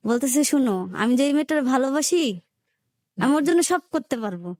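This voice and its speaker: High-pitched young Bangladeshi woman. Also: animated, a little fast, a little mellow, dry recording, clean studio sound. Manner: colloquial, re-enacts reported dialogue as she narrates. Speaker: guest telling her life story. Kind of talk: personal storytelling in an interview.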